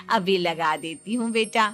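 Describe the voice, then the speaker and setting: A woman's voice: mid-range voice, fairly bright tone. A woman voicing a doting, protective mother, indulgent and chiding, in a dramatized Hindi moral story. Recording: music bed behind the voice.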